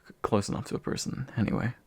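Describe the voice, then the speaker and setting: A young man acting as a courteous, gentle demon of envy in a soft-spoken ASMR roleplay, formal and soothing with occasional hesitation. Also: quick pace, dry recording, natural voice. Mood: surprised, accepting. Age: young adult. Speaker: voice actor.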